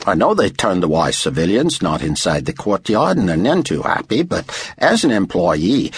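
A man voicing an excitable, chatty Australian motor pool worker in an audiobook, with eager, folksy, pushy talk. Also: low-pitched, moderate pace, slightly rough, no room sound, heavily acted. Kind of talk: audiobook character voice.

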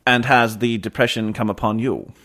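A man acting a calm, probing gentleman ghost hunter in a radio drama, measured and inquisitive. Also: mid-range voice, close to an everyday voice.

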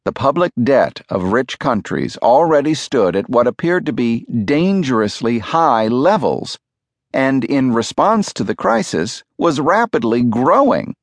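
A man narrates nonfiction from a script, close on the mic in a very dry studio. His mid-range voice moves with animated intonation. He phrases things clearly and with measure, leaning on key words for emphasis. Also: mid-range voice, unhurried, no room sound. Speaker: audiobook narrator. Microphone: close studio microphone.

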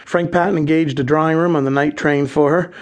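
A man plays a well-to-do American businessman in a radio play. His voice is fairly high for a man. He speaks formally and insistently, a determined client with a grievance. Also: fairly even intonation, a little fast, close to an everyday voice. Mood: earnest, grave. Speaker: actor in a radio dramatization. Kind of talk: radio drama acting.

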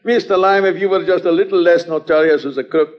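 An actor playing an impertinent, self-important, jealous old bank boss in an old-time radio drama, with a husky voice and a fussy, excitable manner. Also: male voice, high-pitched, quick pace, close to an everyday voice. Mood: amused, pompous. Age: older. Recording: dull vintage radio recording.

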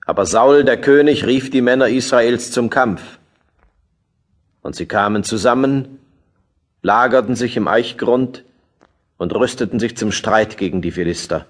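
A man's voice: medium pitch, unhurried, long pauses, close to an everyday voice. A man narrating a biblical radio play as a solemn, scripted storyteller.

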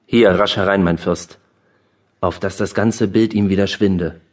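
An actor playing a brisk, mocking courtier and officer in a classical verse drama, speaking in elevated, declamatory stage diction. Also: male voice, low-pitched, fairly even intonation, distant mic. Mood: alarmed. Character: brisk mocking male courtier and officer reporting to his lord. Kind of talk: classical German verse drama audiobook acting.

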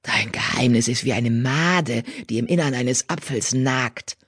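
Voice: normal speed, gravelly, slightly rough. A narrator voicing a fierce old female cat, a bitter former healer who accuses in a growl. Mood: grim. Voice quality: low growl, hissing. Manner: menacing, accusatory, grim.

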